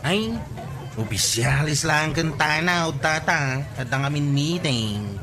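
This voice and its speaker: Reluctant village man: Ilocano accent, moderately high pitch, grumbling radio-comedy delivery. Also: animated, takes it slowly, background noise. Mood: matter-of-fact. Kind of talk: Filipino radio comedy drama acting.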